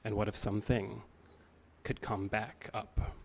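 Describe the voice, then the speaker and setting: A man's voice: lowish voice, flat delivery, measured pace, vocal fry, darkish tone, a little hiss or hum. A man announcing in a scripted, dramatic style, as a presenter introducing a play. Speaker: theater announcer.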